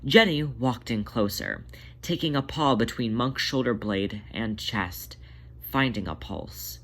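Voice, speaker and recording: A narrator reading scripted fiction in a dramatic, deliberate way, drawing out certain words. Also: medium pitch, very animated.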